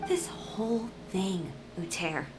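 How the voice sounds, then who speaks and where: A woman's voice: mid-range voice, slow pace, lightly acted, low-quality recording. A woman voicing a proud, uncompromising young fashion designer in a video game, cool, self-assured and cutting. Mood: scornful. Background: loud game music.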